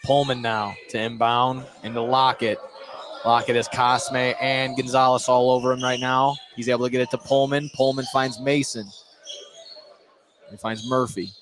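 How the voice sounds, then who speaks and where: A man doing live play-by-play of a high school basketball game on radio, with casual, loose phrasing and repeated words. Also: little pitch movement, moderate pace. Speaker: play-by-play sports announcer. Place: indoor high school gym during a game. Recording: live gym broadcast, clear background noise.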